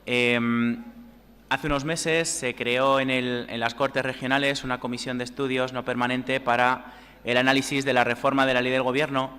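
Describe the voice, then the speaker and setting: A man's voice: medium pitch, flat delivery, moderate pace, sharp tone, clear, resonant tone. A man moderating an academic seminar, giving a formal introduction with frequent fillers and restarts. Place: lecture hall. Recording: long hall reverb.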